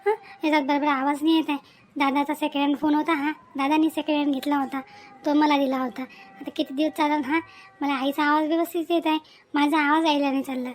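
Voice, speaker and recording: Cartoon village wife, female performer, very high pitch, dutiful and talkative, Varhadi Marathi accent. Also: flat delivery, a little fast, a little hiss or hum, close to an everyday voice. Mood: frustrated, fretting. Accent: Maharashtrian, Varhadi Marathi. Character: cheerful dutiful young married village woman on the phone. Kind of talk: cartoon family drama dialogue.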